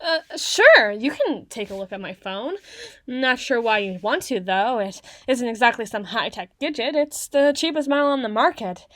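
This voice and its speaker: A woman acts out a socially awkward, bookish tsundere girl in her young adulthood for an ASMR roleplay. Her voice sits fairly high and her phrasing is wordy and halting, easily flustered. The sound is very dry and close, with no room echo. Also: animated, lightly acted. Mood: puzzled, dry. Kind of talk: ASMR roleplay, acted monologue to the listener.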